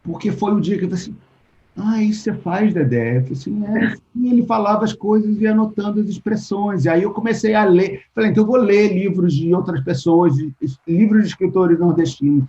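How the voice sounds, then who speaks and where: A man's voice: high-pitched, brisk, dark tone. A Brazilian man talking as a writer in a casual interview. He thinks aloud, restarts often, and acts out the things people said to him. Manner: thoughtful, restarts often, acts out quoted exchanges. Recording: dull lo-fi call audio.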